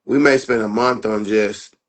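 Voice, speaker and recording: A man with a fairly low voice speaks as a guest in a relaxed interview. He talks loosely and casually and keeps checking in with "you know what I'm saying.". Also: normal speed. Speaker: MMA fighter and guest.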